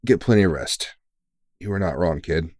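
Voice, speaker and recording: A man's voice: low-pitched, expressive, normal speed, a little mellow, no room sound, studio quality. A man playing a tired, kindly veteran vampire hunter who mentors a rookie in an informal, teasing, reassuring way, in an ASMR comfort roleplay. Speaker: voice actor in audio roleplay.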